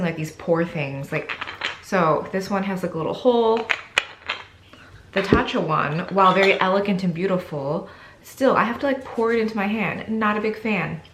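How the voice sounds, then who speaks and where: A woman's voice: medium pitch, fairly bright tone, roomy sound, a little hiss or hum. A young woman vlogging casually to camera, chatty and full of "like" fillers, sounding slightly congested.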